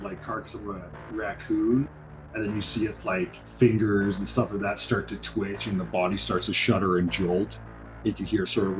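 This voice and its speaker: A man with a fairly low voice runs a tabletop horror game. He improvises descriptions casually as game master, with faint music behind him. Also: low-quality recording.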